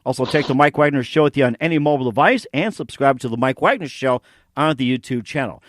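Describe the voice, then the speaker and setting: A man's voice: medium pitch, quick pace, dry recording, clean studio sound. A man hosting a podcast in an effusive, enthusiastic way. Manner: gushing, effusive. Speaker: podcast host. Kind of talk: enthusiastic interview chat with read sponsor plugs.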